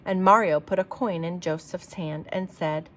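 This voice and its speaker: A woman reading a children's picture book aloud like a teacher, with expressive, emphatic delivery for young listeners. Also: on the low side, normal speed.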